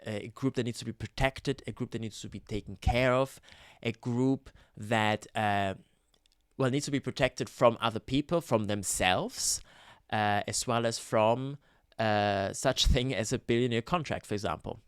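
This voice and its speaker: A man, telling his own story in a gentle, campy vlog, with hesitant fillers. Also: medium pitch, a little slow, smooth, no echo, studio quality. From Switzerland. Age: 30s. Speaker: YouTuber, ex-Scientologist. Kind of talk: personal testimony vlog.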